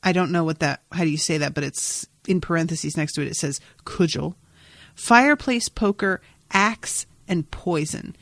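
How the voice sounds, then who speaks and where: Woman, slightly low voice, podcast host, casual recap, animated and amused, very dry sound. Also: moderate pace, no echo. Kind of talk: casual episode recap chat.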